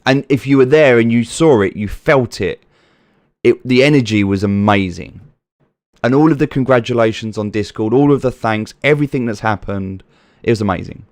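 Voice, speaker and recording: A man's voice: medium pitch, normal speed, dry recording. Uk guy on a livestream, preachy pep talk, soothing tone, dry close mic. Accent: British. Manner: earnest, preachy, repeats points for emphasis; reads viewer names from chat and answers them. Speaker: livestream host. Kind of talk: casual livestream chat.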